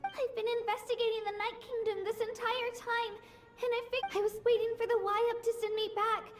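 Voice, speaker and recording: A small, timid game heroine who tries to be brave. Her childlike voice is extremely high and hesitant, earnest in every phrase, over prominent music. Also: flat delivery, fairly quick. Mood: urgent. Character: young girl, timid, earnest, self-doubting, brave. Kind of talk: anime game voice acting.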